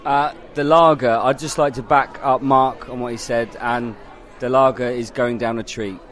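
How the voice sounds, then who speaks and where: A man with an average-pitched voice joins in casual banter. There is a light crowd murmur behind him. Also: fairly even intonation, normal speed, a bit bright. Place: out at a London pub. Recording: faint pub crowd, urban bustle. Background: faint crowd.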